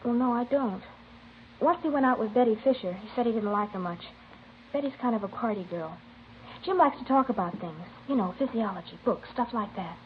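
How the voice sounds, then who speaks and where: A woman's voice: fairly high pitch, a little fast, close to an everyday voice. Hesitant teenage girl on tinny old radio, woman acting. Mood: guarded, casual. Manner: tentative, plain-spoken. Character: hesitant, guarded teenage high school girl. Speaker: actor playing a witness. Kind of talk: 1950s radio drama acting, naturalistic. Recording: thin, noisy old radio recording.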